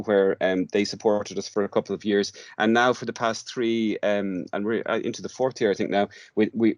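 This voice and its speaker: Halting Irish man, lowish voice. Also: dry recording. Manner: hesitant, halting delivery with repeated words and long searching pauses. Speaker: interview guest, radio drama workshop director. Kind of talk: informal podcast interview.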